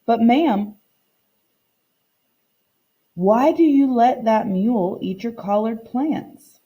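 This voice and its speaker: Woman doing a curious, high-pitched young boy. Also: slow, husky, highs cut off. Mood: puzzled, polite. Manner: questioning, eager. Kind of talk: children's picture book read-aloud.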